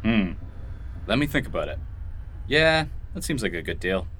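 A man's voice: on the low side, big pitch swings, normal speed, noisy background. A man acting in an audio drama as a bored, cheeky patient on the mend, with a teasing, flippant way of talking. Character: cheeky, bored male patient recovering from leg surgery.

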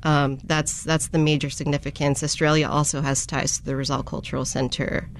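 A woman's voice: sits low, level tone, brisk, vocal fry, a little hiss or hum. A woman speaking conversationally as a guest in an interview. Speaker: interview guest, community archive volunteer.

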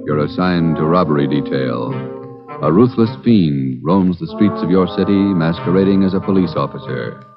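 Grave, booming-deep 1940s radio announcer. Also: male voice, expressive, moderate pace. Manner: grave, emphatic. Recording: dull 1940s radio broadcast with music.

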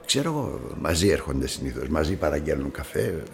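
A man's voice: extremely low pitch, sing-song, vocal fry, full character voice. An actor playing an older, fatherly coffee-shop man in a radio drama, chatty but evasive, with hesitant, repetitive, familiar speech. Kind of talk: naturalistic radio drama dialogue.

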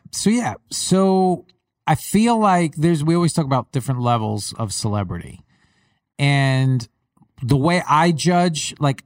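A man hosting a casual sports podcast, with a faint Long Island accent and a relaxed way of talking that repeats words. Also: fairly high pitch, dry recording, clean studio sound. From Long Island, New York. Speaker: podcast host. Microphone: studio mic.

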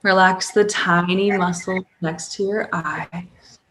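A woman guiding a sleep hypnosis session as a hypnotherapist, calm, with drawn-out pauses and audible breaths. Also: on the low side, poor audio. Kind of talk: guided sleep hypnosis, soothing relaxation script.

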